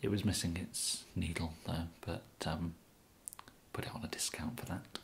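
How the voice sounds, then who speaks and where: A young man with an English accent whispering an ASMR roleplay as an awkward, polite kidnapper, halting and apologetic, close to the microphone. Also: low voice, creaky. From England. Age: young adult. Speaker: ASMR performer. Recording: steady background hiss.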